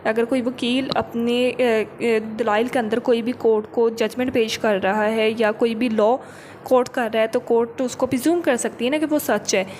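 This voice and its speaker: A woman's voice: on the high side, steady tone, moderate pace, darkish tone, a little hiss or hum. A woman lecturing on law, reading out clauses and then explaining them didactically, repeating points and mixing in English legal terms.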